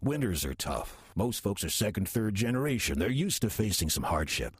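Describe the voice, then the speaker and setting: Wry old demon in audio drama, folksy drawl, deep n really raspy, genial small town type. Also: male voice, big pitch swings, normal speed, no room sound. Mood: folksy, musing. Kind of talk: audio drama dialogue and narration.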